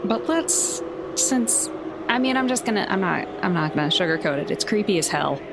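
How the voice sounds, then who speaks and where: A woman's voice: mid-range voice, animated, normal speed, very noisy. A woman from south Louisiana chatting casually as a tabletop game player, blunt and wry. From south Louisiana, United States.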